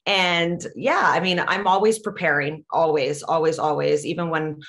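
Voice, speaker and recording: A woman's voice: sits low, steady tone, normal speed, a bit bright. A woman who grew up in Latvia, speaking English as a second language in a casual interview, earnest and enthusiastic, with many fillers. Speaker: actress and professional wrestler, interview guest.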